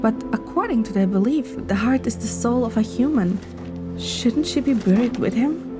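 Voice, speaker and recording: Inquisitive young heroine, voiced by a woman, fairly high pitch, expressive, polite, easily flustered, romance story over clear music. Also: moderate pace, close to an everyday voice. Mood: curious. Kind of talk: scripted romance story dialogue. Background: clear background music.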